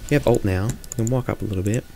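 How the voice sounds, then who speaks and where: A man's voice: lowish voice, very fast, vocal fry, dark tone, a little hiss or hum. A young man in a small room, giving chatty, joking commentary while he plays a video game and thinks aloud. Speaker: streamer. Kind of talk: live gameplay commentary.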